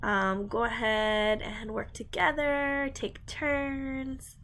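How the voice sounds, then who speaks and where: A woman's voice: fairly high pitch, level tone, slow, fairly bright tone, a little hiss or hum. A woman reading a picture book aloud to young children and chatting to them in simple, encouraging language.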